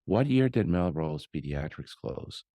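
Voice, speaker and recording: A man's voice: low voice, lively intonation, a little slow, mellow, no echo. A man hosting a casual interview conversation, speaking in a pointed, sardonic way. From born in Colombia, raised elsewhere. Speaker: podcast host, pediatrician.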